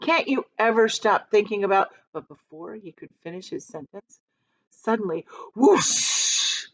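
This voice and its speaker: A woman reading a children's book aloud like a teacher, expressive and dramatic, pausing to explain things to young listeners. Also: medium pitch, poor audio. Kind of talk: animated storytime read-aloud with explanatory asides.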